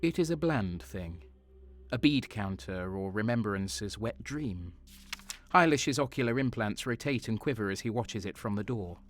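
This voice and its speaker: A man's voice: on the low side, expressive, a little slow. An actor playing a cynical, cold and sadistic soldier who narrates his crimes in a brooding, sardonic way in an audio drama.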